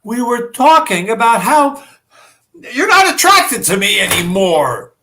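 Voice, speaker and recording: Woman doing weepy needy old dad in a play reading, slow n plaintive, super swoopy pitch. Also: big pitch swings, sharp tone, a little hiss or hum, heavily acted. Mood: hurt, sulking. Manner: plaintive, drawn-out, wounded delivery. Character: needy, weepy, easily hurt ageing father and husband. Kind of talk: amateur staged play reading.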